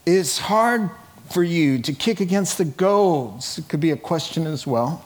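A man's voice: a little high, animated, measured pace. A middle-aged man preaching a sermon in a conversational, informal way, animatedly telling stories and acting out dialogue. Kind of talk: sermon, reading scripture and retelling it in colloquial, dramatized asides.

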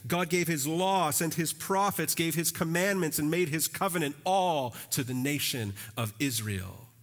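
A man preaching a sermon as a pastor, in a conversational, animated way with casual phrasing. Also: fairly high pitch, a little slow. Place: church.

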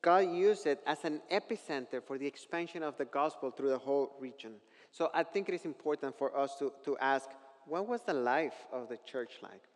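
A man from northern Mexico with a Mexican accent, a native Spanish speaker, preaching a sermon in a measured, earnest, explanatory manner. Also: mid-range voice, animated. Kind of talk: sermon with scripture reading. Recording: long hall reverb.

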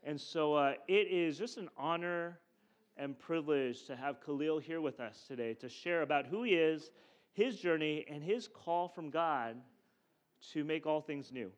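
A man's voice: fairly high pitch, a little slow. A man hosting an event, introducing a guest speaker to the audience with frequent fillers.

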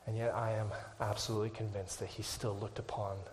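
A male pastor preaching a sermon to his church, swinging from hushed, whispered lines to loud, impassioned climaxes and closing in prayer. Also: fairly low pitch, level tone, brisk.

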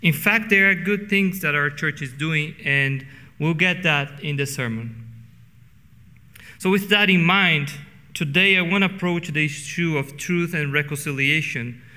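A middle-aged man from Brazil with a Brazilian Portuguese accent, preaching a sermon earnestly and slowing down for emphasis. He speaks English as a second language. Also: on the high side, sharp tone, big-room sound. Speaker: pastor. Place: church sanctuary.

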